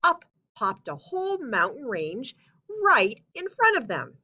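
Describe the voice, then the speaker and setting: A woman reading a children's storybook aloud as narrator, with expressive, emphatic phrasing. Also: on the high side, slow, muffled recording. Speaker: story reader.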